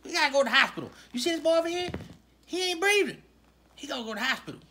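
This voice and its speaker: A woman doing an improvised comedy skit with plush toys in a living room, with lively, loud comic banter. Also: sits high, rapid-fire, a little hiss or hum. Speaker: puppeteer voicing plush toys.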